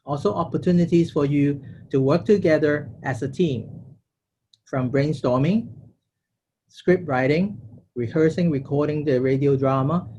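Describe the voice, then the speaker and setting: A man's voice: mid-range voice, mellow, muffled recording, slight background noise. A man delivering a prepared thank-you speech as the president of an organization. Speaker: organization president giving closing remarks.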